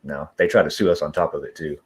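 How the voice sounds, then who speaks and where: A man's voice: very deep voice, fairly even intonation, fast talker, darkish tone, breathy edge. A man from Texas with a Texan accent, chatting casually as a guest in slangy, laid-back talk. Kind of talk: casual chat.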